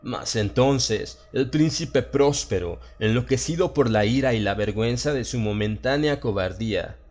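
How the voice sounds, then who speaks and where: A man's voice: mid-range voice, lively intonation, moderate pace, darkish tone. A man with a Latin American Spanish accent reads a horror audiobook in a solemn, theatrical, dramatized style. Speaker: audiobook narrator. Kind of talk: dramatized scripted horror narration. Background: background music.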